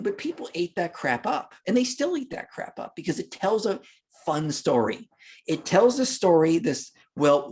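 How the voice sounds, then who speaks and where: A man's voice: fairly high pitch, husky. Emphatic, opinionated man on a podcast. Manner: emphatic, opinionated, dismissive of opposing claims. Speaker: podcast guest. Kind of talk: casual argumentative explanation of history.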